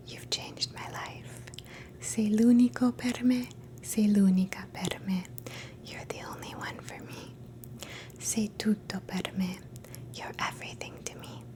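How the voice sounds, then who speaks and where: A woman in a soft, gentle, mostly unvoiced whisper, slowly teaching phrases in a relaxing language lesson and repeating each one. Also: medium pitch, steady tone. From Canada. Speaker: ASMR host teaching phrases.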